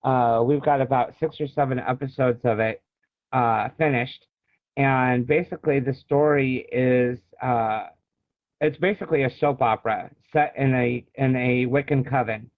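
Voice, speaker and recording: A man from the United States giving a casual interview as an author, often halting and searching for words, over thin phone-like call audio. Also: mid-range voice, fairly even intonation, no echo.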